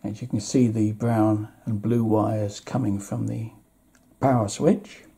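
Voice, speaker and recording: A man in his seventies giving a casual, unscripted review with wry understatement, in a husky voice. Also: on the low side, steady tone, measured pace, warm tone. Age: 70s. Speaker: audio equipment reviewer. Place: indoor room at home.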